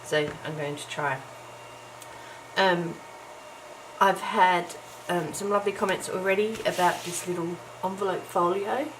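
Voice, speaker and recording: A middle-aged woman with a low, croaky just-woken voice, chatting as she works on a craft project. She talks casually and self-deprecatingly, scattering ums and soft laughs. It is recorded on a camera's own mic at home, with clear background hiss. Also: fairly even intonation, measured pace. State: croaky morning voice. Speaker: crafting vlogger. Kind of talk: casual chat while crafting. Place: home, early morning. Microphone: camera's built-in mic.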